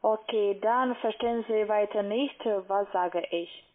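Russian-accented woman on a tinny phone line. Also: mid-range voice. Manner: halting, non-native German phrasing. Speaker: call-centre broker, phone scammer. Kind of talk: unscripted phone argument. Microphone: phone call.

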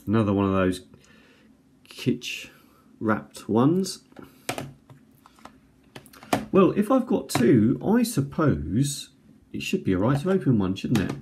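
A man's voice: medium pitch, big pitch swings, slow pace, long pauses. A man giving casual unboxing commentary as a video host, hesitant and musing as he thinks aloud. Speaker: video host reviewing items. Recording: home recording with faint background noise.

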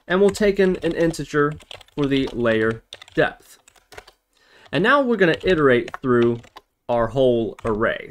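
A man hosting a software tutorial, explaining methodically, step by step. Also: mid-range voice. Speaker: tutorial host. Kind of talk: scripted-feeling coding tutorial narration. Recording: noisy, with keyboard typing and clicks. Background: computer keyboard typing and mouse clicks.

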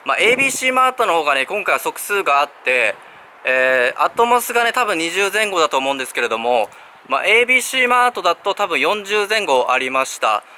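A man in his thirties filming himself on a walk, chatting in a relaxed way with many fillers. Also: sits high, quick pace. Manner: relaxed, filler-heavy narration with frequent "ne" tags. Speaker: sneaker vlogger. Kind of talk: casual walk-and-talk vlog. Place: outdoors on city streets, walking. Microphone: handheld camera at arm's length. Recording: street noise behind.

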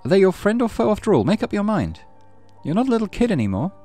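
A man voicing a stern, weary mother with a sharp, exasperated, accusing manner in a visual novel. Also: high-pitched, wildly swooping pitch, fast, faint music behind, pitched above the performer's own voice, exaggerated voice. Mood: annoyed.

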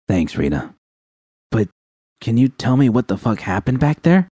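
A man's voice: medium pitch, very animated, moderate pace, dark tone, husky, no room sound, clean studio sound, pitched above the performer's own voice. A man voicing a tough, protective young woman mercenary who talks bluntly and swears, in an audiobook reading of a fan story. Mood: firm. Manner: blunt, profane.

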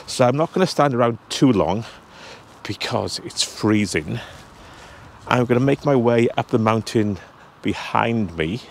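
A man's voice: medium pitch, expressive, unhurried, creaky, slight background noise. A man in his fifties chatting to a handheld camera as he walks outdoors on a cold hillside, talking straight to his viewers. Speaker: travel vlogger. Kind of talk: casual vlog walk-and-talk. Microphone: handheld camera at arm's length.

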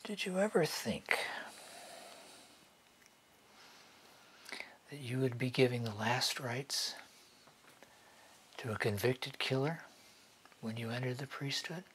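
A man playing a bitter, sardonic convict awaiting execution in a dramatic monologue, taunting and hushed, with long pauses. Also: mid-range voice, animated, a little hiss or hum. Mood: bitter, mocking.

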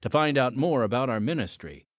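Animated male announcer, dry studio sound. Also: medium pitch, brisk, no room sound. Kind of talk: scripted radio narration and ministry message.

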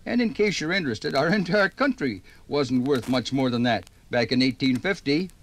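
A man's voice: medium pitch, lively intonation, moderate pace. A man giving folksy 1950s radio narration, chatting directly to the listener. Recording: 1950s vinyl radio recording.

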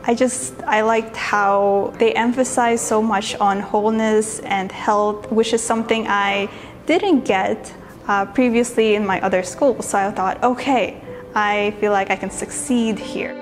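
A woman's voice: medium pitch, steady tone, a little slow, soft background music. A young woman answering interview questions as a student in a campus stairwell, sincere and reflective. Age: young adult. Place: stairwell of a campus building, indoors. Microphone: lapel.

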